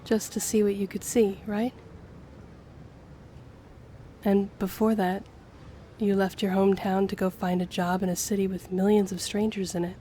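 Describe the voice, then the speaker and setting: A woman's voice: mid-range voice, level tone, moderate pace, warm tone, natural voice. A woman playing a friendly, earnest young woman with a shy romantic streak in an intimate audio roleplay, speaking to the listener. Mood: earnest, warm. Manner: chatty, earnest, flustered hesitations when romantic. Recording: clear background ambience noise.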